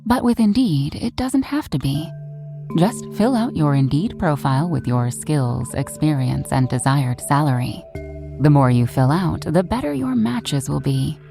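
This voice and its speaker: A woman's voice: low-pitched, expressive, unhurried, vocal fry. A woman announcer giving a scripted, reassuring advertisement read. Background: music.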